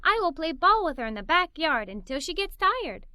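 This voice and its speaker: A woman's voice: very high voice, big pitch swings, moderate pace, sharp tone, no room sound, studio quality, exaggerated voice. Woman voicing an eager, chirpy little girl. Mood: reassuring. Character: eager, helpful little girl, cheerful and playful. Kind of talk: children's storybook read-aloud.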